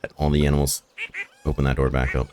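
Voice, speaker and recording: A hoarse man with a raspy, rough voice, rambling and thinking aloud as he plays through a farming game in casual commentary. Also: a bass voice, completely flat delivery, fast talker, background noise. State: hoarse from shouting the night before. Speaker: gaming video host. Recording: game music behind the voice. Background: game music.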